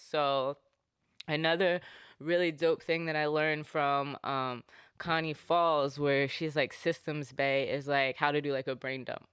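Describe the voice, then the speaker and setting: A man hosting a podcast in casual, rambling talk, full of fillers and animated asides. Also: fairly high pitch, smooth, no echo, studio quality. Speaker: podcast host.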